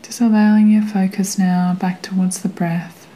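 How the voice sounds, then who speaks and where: A woman guiding a meditation in a gentle, soothing way, with a soft, sometimes breathy voice and long pauses between phrases. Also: medium pitch, flat delivery, poor audio. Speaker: meditation guide. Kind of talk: guided meditation narration.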